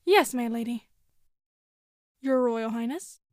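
Woman acting a timid maid, high voice, meek, apologetic, dry sound, audio drama. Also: takes it slowly, a little mellow, no echo. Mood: meek, anxious. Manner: meek, deferential. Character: timid, apologetic young female palace maid.

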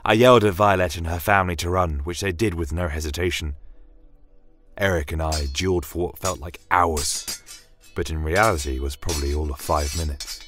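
A man's voice: deep voice, steady tone, moderate pace, fairly bright tone. A man reading a scripted first-person fantasy tale as a dramatic storyteller. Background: fantasy ambient music.

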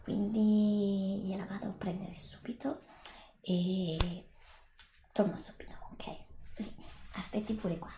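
A woman's voice: medium pitch, fairly even intonation, slow pace, long pauses, echoey room, noisy background. A middle-aged woman whispers an ASMR roleplay as a polite, reassuring shop assistant serving a customer. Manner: polite, attentive, reassuring, formally addressing a customer.

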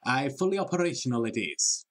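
An actor playing a proud, eager imperial admiral, formally declaiming archaic verse in an amateur dramatic reading. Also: male voice, medium pitch, expressive, brisk, fairly bright tone, close to an everyday voice.

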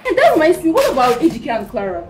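A friendly, curious young daughter in a film drama. Her pitch is fairly high and lively, heard from a distance in an echoey space. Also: quick pace, faint music behind.

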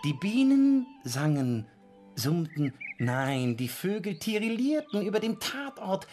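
An actor in a radio play playing a grumpy village constable who pompously dictates a crime novel, halting to correct himself. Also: male voice, high voice, big pitch swings, a little mellow, faint music behind, full character voice. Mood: absorbed, irritable.

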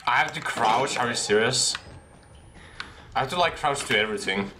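A young man in a headset commenting casually on a game he is playing, excitable and slangy, laughing often. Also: mid-range voice, moderate pace, over music. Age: young adult. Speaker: gaming streamer. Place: small room at a desk.

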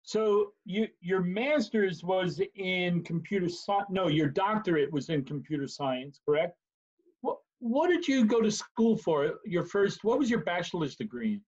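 A man in his fifties with a husky voice, chatting casually as an interviewer on a video call. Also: high voice, expressive, unhurried, darkish tone. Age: 50s. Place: room at home, video call. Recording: slightly dull video-call audio.

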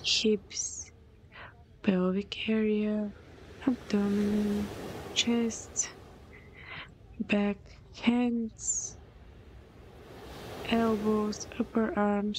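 Slow, breathy woman guiding meditation. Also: medium pitch, a little mellow, low-quality recording. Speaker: meditation and yoga guide. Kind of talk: guided meditation narration. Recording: clear background noise, ambient sound.

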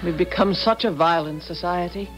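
An actress playing a genteel, composed, inquisitive well-to-do wife in a 1970s radio drama. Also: female voice, on the low side, normal speed, faint music behind, natural voice, rough recording. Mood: poised, probing.

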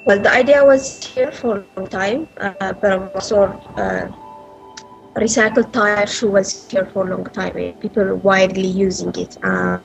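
A woman's voice: medium pitch, fairly even intonation, measured pace, vocal fry, faint music behind, rough recording. A woman from Ethiopia in her thirties, answering interview questions earnestly with frequent hesitations, over an earbud headset on a video call. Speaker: entrepreneur interviewee. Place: small indoor room.

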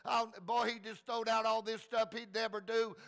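A man preaches in a high, gravelly voice. He is folksy and plain-spoken, retelling scripture with fervor and repeating phrases to drive them home. The tone is bright and forceful. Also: flat delivery, normal speed. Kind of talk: fervent sermon retelling Bible stories.

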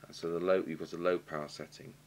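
A British man giving a halting, matter-of-fact technical demonstration, thinking aloud as he works at a workshop bench. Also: sits low, little pitch movement. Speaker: radio repair technician demonstrating. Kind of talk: unscripted technical demonstration commentary. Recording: clear background noise and hum.

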